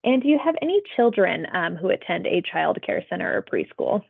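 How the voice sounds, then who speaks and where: A woman's voice: on the low side, sing-song, fairly quick, creaky, husky. A woman working as a public health phone interviewer, asking survey questions in a polite, procedural, conversational way. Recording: tinny phone-like audio.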